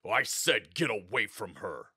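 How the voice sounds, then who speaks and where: A man's voice: on the low side, sing-song, measured pace, sharp tone, breathy edge, no echo, clean studio sound, full character voice. An actor playing a stern, suspicious male army sergeant in a full-cast audiobook, speaking curtly and coldly. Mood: hostile. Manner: curt, cold, commanding.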